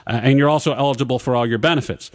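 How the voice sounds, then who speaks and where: A man's voice: mid-range voice, steady tone. Male talk radio host, studio mic, pushy and argumentative, runs through lists. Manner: pushy, interrupts guests; reads contract terms off in a rapid list. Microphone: studio broadcast mic.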